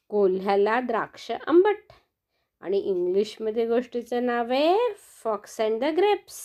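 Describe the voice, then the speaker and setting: A woman's voice: on the high side, a little mellow. A woman telling a bedtime story to children in a sing-song, coaxing manner, often asking them questions.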